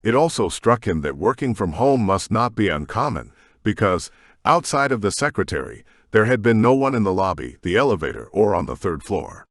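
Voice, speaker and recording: Low, expressive male audiobook narrator. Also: normal speed, dry recording, clean studio sound. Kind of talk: scripted sci-fi story narration.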